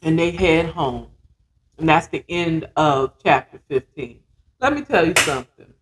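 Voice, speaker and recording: A podcast host with a mid-pitched voice tells a story at a slow, drawn-out pace. The delivery is casual and dramatic, leaning on repeated words for emphasis, with blunt, slangy and sometimes profane commentary. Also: slight background noise, poor audio. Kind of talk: casual, animated retelling of a story chapter with commentary.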